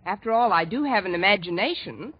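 A woman's voice: medium pitch, lively intonation, fairly quick, breathy edge. An actress playing a wry, good-humored single woman who delivers dry quips in a 1940s radio comedy. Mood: playful. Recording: noisy dull 1940s radio recording, studio audience. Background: studio audience.

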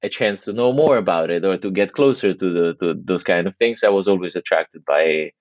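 A man's voice: low voice, a little fast, dry recording, dull audio. A man in his thirties from Italy, with an Italian accent, speaking English as a second language as a podcast guest, talking casually with many restarts and fillers. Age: 30s. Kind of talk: casual interview conversation.

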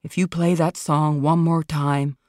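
A woman's voice: sits low, little pitch movement, measured pace, a little mellow, no echo, studio quality. A woman voicing a sneering, pompous older male actor with a declamatory manner. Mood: threatening.

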